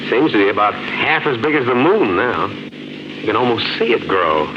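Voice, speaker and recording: An actor playing an easygoing young husband, casual and reassuring, in a 1950s radio drama. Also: male voice, lowish voice, big pitch swings, normal speed. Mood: calm, reassuring. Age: young adult. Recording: muffled, noisy 1950s radio recording.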